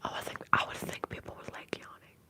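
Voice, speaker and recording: A woman hosting an ASMR live stream, whispering softly as she rambles and greets viewers in the chat. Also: quick pace. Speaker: ASMR livestream host.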